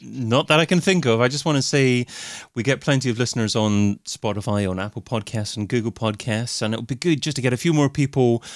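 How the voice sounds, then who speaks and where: A man's voice: medium pitch, a little fast, no room sound, clean studio sound. A man, the show's producer, making a conversational announcement to podcast listeners.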